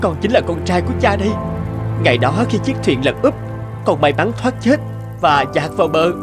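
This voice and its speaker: An earnest young hero of a cartoon fairy tale, acted by a man, with a high voice that moves in lively swings. He speaks expressively over prominent music. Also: fairly quick. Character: earnest young merchant's son, later king. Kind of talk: animated fairy-tale dub. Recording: music behind the voice.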